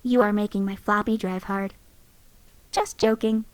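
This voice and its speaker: A woman's voice: mid-range voice, steady tone, unhurried, dry recording, close to an everyday voice. A woman voicing a playful female AI companion robot, delivering game voice lines with a cheeky, joking manner. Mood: teasing.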